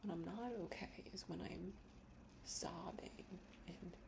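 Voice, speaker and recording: A young woman speaking in a soft, slow whisper, reflecting emotionally in a personal ASMR-style video. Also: on the low side, steady tone, creaky, background noise. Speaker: ASMR creator.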